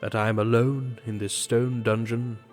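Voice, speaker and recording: A man's voice: mid-range voice, level tone, normal speed. A man giving a grave, theatrical reading of a literary story as an audiobook narrator. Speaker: audiobook reader. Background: background music.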